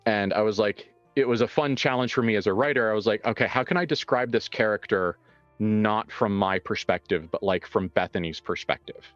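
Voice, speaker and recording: Male game master, deep register, casual reflective talk with halting emphasis, dull recording with soft music underneath. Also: dry recording. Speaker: game master and writer of an actual-play show. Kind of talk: casual reflective discussion, answering questions.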